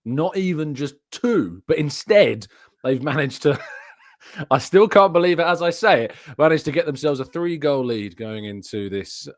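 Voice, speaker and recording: A man's voice: a little high, animated, unhurried, no room sound, clean studio sound. A young man hosting a casual football podcast from a home room over a video call, chatty and self-deprecating, laughing often. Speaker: host. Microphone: studio mic on desk with headphones.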